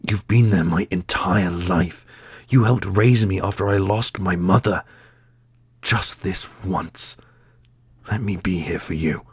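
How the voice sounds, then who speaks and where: A man's voice: sits low, slow pace, breathy edge, no room sound, clean studio sound, full character voice. A man playing an earnest, steadfast warrior prince in a fantasy audio roleplay, speaking with serious deliberation. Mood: caring, pleading. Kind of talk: ASMR fantasy roleplay acting.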